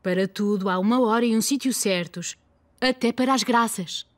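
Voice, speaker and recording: A woman acting as a young cartoon mother with a fairly high voice. She has a European Portuguese accent and explains things gently but firmly, with music behind her. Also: moderate pace, natural voice. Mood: gently scolding. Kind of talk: children's cartoon dub.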